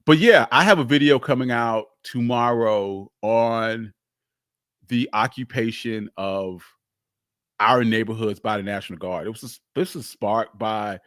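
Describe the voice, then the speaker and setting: A man hosting a casual, unscripted live history stream, speaking candidly in a halting, rambling way. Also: fairly low pitch, a bit bright, no room sound, studio quality. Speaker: livestream host, history storyteller.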